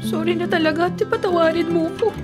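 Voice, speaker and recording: An actress playing a timid, hesitant and apologetic younger sister in a radio drama. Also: female voice, extremely high pitch, steady tone, fairly quick, over loud music. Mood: pleading, guilty. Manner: hesitant, pleading. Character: timid, apologetic younger sister, a secretly pregnant shop worker.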